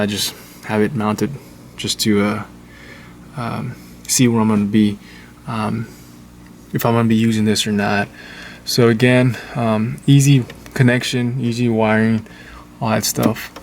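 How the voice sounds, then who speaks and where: A man giving a casual, unscripted how-to in an informal, halting, repetitive way, like a hobbyist vlogger. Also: lowish voice, flat delivery, a little mellow, slight background noise. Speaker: vlogger showing a DIY car install. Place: in and around a Jeep.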